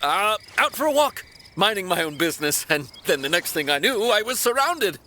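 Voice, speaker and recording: A man's voice: high-pitched, very animated, moderate pace, bright, forceful tone, a little hiss or hum, exaggerated voice. An actor voicing a nervous, shifty young man in a video game, stammering and pleading in a flustered way. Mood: flustered, defensive. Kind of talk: animated video game voice acting.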